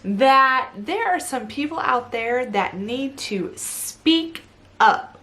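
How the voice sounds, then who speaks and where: A woman co-hosting a casual chat with her husband, animated and laughing often, talking in quick bursts. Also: high voice. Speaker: co-host.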